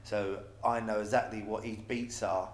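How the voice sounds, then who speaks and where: A man's voice: on the low side, fairly even intonation, normal speed. A middle-aged man in a casual interview about stage work, often repeating and restarting his phrases. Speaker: pantomime dame performer. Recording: echoey room with faint background noise.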